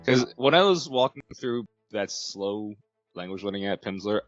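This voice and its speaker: A man's voice: fairly low pitch, expressive, moderate pace, highs cut off, soft background music. A young American man in a casual interview, laid-back, chuckling often and hedging his words. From United States. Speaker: language program student.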